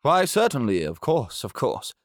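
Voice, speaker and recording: A man's voice: mid-range voice, huge pitch swings, moderate pace, no echo, studio quality, voice pushed up. A man voicing a kind, mild-mannered doctor in a storybook reading, hesitant and courteous, often murmuring.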